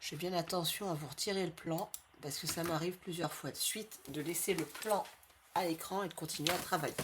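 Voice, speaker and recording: A woman with a slight head cold hosting a craft tutorial in a friendly, chatty way, repeating each measurement slowly for her viewers. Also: on the low side, noisy background. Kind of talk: casual step-by-step craft tutorial.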